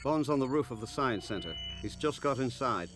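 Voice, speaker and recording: A man's voice: mid-range voice, expressive, fairly quick, lightly acted. A man playing a composed, formal intelligence aide giving briefings in a video game cutscene. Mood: measured. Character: composed male spy agency aide, deferential and factual. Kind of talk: scripted game cutscene dialogue. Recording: music behind the voice.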